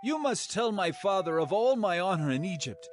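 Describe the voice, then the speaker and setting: High-pitched, animated Joseph. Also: male voice, normal speed, slight background noise. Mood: earnest, urgent. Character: Joseph, powerful Egyptian governor, earnest loving brother. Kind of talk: dramatized scripture audio acting. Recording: music behind the voice.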